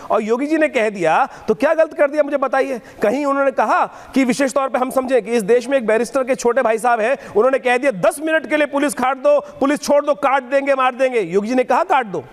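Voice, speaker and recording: A man's voice: very high voice, brisk, few pauses. A man giving impassioned political oratory as a debate panelist, declamatory, with rhetorical questions and repetitive lists. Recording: echoing hall-like reverb.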